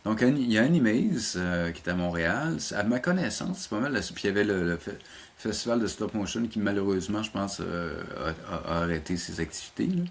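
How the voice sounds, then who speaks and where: Low-pitched Québécois man, hesitant talker. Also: animated, fast. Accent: Québécois French. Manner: hesitant, searching, often repeating words. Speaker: animation teacher being interviewed. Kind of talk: casual interview answers.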